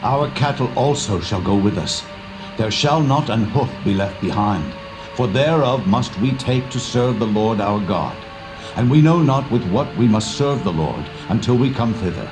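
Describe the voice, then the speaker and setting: Male actor as dignified prophet-leader, low and firm, over prominent music. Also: animated, moderate pace, lightly acted, rough recording. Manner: firm, declarative. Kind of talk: dramatised scripture acting. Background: prominent background music.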